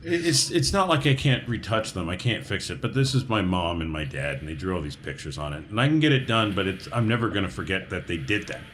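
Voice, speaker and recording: A man playing a wounded, bitter rebel in a tabletop roleplaying game, speaking in a halting, brooding way. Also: low voice, expressive, fairly bright tone, a little hiss or hum. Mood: hurt, bitter. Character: wounded, bitter male rebel grieving his family keepsakes.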